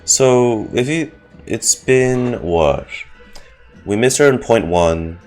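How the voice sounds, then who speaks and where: A man giving casual live commentary on a game as a streamer, halting and wry. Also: medium pitch, expressive, darkish tone, soft background music. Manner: halting, wry, self-joking.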